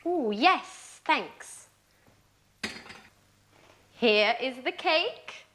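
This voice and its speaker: An actress playing a patient but easily exasperated woman friend in a scripted sitcom for language learners, speaking deliberately and expressively. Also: sits high, animated, a bit bright, slight background noise, exaggerated voice. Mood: upbeat. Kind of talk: slow, clear scripted sitcom dialogue for learners.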